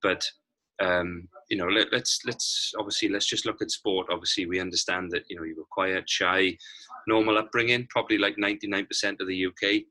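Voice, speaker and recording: Deep, bright-voiced male interviewer. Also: fairly even intonation, a little fast, poor audio. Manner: wordy, encouraging, repeats phrases. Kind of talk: casual interview.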